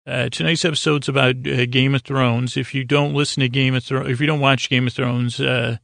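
Male podcast host, creaky and gravelly, mid-pitched, stammering through meandering bedtime rambles, recorded close and dry. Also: little pitch movement, brisk, a bit bright, dry recording. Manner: meandering, stammering, keeps derailing himself.

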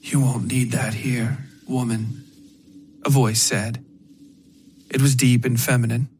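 A man narrating a scripted dark fiction story for a podcast. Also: mid-range voice, a little hiss or hum.

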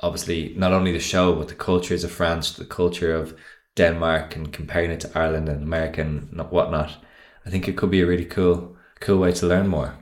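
A male podcast host chats casually with his guests, joking and laughing often. His voice is very low, and the room around him rings with echo. He runs on in long, loose sentences. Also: level tone, fairly quick, few pauses. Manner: chatty, jokey, laughs often. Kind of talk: casual chat.

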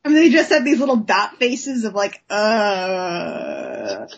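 A woman's voice: mid-range voice, huge pitch swings, takes it slowly, muffled recording, a little hiss or hum. A woman hosting a casual comic book discussion, speaking in an enthusiastic, informal way. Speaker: podcast host.

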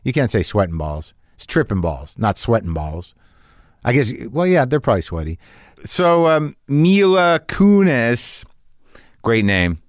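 A man's voice: mid-range voice, sing-song, measured pace, dry recording. A middle-aged man hosting a casual interview in a home garage studio. He rambles, trails off and restarts, and swears freely. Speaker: podcast host. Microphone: studio mic, close.

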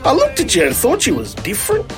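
An Australian man voicing a naive, eager young man from the bush in a raised, high voice for a storybook. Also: huge pitch swings, fast talker, music in the background, exaggerated voice. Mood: excited.

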